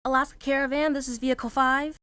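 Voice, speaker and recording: A woman acts out a young traveler in an audio drama. The voice is fairly high, and the delivery is wry and teasing. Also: level tone, fast, bright, forceful tone, dry recording. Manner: dry, teasing. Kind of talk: student audio drama acting.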